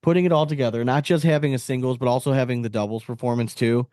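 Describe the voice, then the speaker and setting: A man with a middle-pitched voice hosts a casual sports podcast, recorded close and dry. He speaks conversationally, with slang and firm opinions. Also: steady tone, quick pace, warm tone, no echo. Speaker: podcast host.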